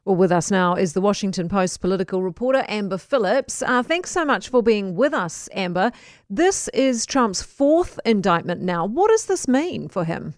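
A woman's voice: mid-range voice, big pitch swings, normal speed, dry recording, studio quality. A woman with a New Zealand accent hosting a live radio news interview and putting questions to a guest.

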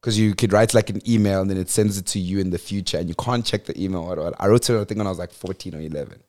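A young man from South Africa chats on a podcast in a low, relaxed voice. He talks loosely, with plenty of slang and frequent laughter. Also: rapid-fire. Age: 20s. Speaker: podcast co-host. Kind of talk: casual chat.

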